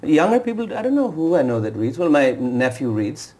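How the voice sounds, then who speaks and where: A man's voice: a little high, sing-song, dark tone. A man being interviewed as an author on a TV talk show, speaking in a hesitant way with frequent restarts. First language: Urdu and Punjabi speaker. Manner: fast, hesitant, with many restarts. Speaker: author guest. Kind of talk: TV interview conversation.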